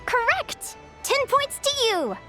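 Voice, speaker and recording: A woman's voice: very high voice, very animated, takes it slowly, music in the background, heavily acted. A woman voicing an enthusiastic, girlish young adventuring advisor in a video game, talking like an encouraging, playful teacher. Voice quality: girlish, youthful. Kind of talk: animated video game voice acting.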